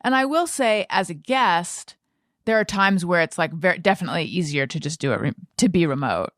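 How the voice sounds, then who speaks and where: A low-voiced American woman in her forties talks in a casual podcast style. She thinks aloud, halting and rewording her sentences as she goes. The sound is dry, with hardly any room on it. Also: expressive, a bit bright, no echo. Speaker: podcast host and interviewer. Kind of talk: casual conversational interview chat.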